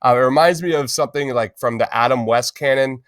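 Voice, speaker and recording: A man's voice: medium pitch, a little fast, no room sound, studio quality. A man hosting a casual pop-culture podcast, talking informally and enthusiastically with plenty of slang. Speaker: podcast host.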